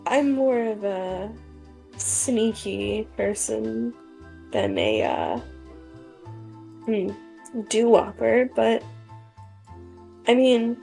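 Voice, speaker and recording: A woman player in a tabletop game who talks slowly and hesitantly, stopping often and filling gaps. Her voice is mellow and sits a little high for a woman. Faint music plays behind her. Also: big pitch swings, vocal fry. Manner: hesitant, halting, with fillers.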